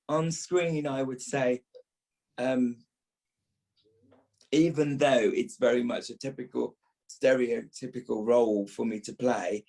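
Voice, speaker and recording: A man with a noticeable fry in his mid-pitched voice answers as an interview guest. He talks reflectively about his own work as an actor and repeats words to press a point. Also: a little mellow. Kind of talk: reflective podcast interview talk.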